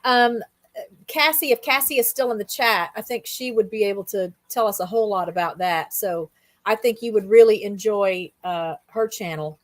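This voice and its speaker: A woman's voice: mid-range voice, normal speed. A woman hosting a casual, conversational interview, asking questions and chatting. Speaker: interviewer, show host.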